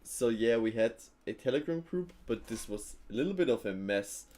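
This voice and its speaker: Easygoing man chatting on a trading stream. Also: mid-range voice. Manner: relaxed, thinking aloud, frequent fillers and quick laughs. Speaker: live-stream trading host. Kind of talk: casual live-stream chat answering viewers.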